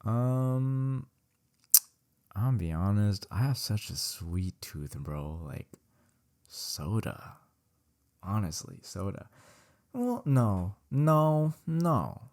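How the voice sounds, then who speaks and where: A man's voice: fairly low pitch, very animated, takes it slowly, pauses often, darkish tone. A young man from California, chatting casually on his own show, rambling and correcting himself, swearing a lot and saying "bro" over and over. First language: Vietnamese. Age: young adult. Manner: slangy and profane, says "bro" a lot. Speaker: solo podcast host. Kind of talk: casual solo Q&A chat.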